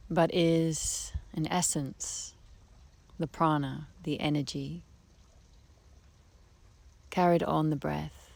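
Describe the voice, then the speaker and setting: A woman leading a guided meditation in a soft, breathy voice, speaking gently with long unhurried pauses. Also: low-pitched, fairly even intonation, no room sound. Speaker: guided relaxation teacher. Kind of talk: guided meditation, soothing slow instruction. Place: outdoors beside a harbour. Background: birdsong, trickling stream, breeze.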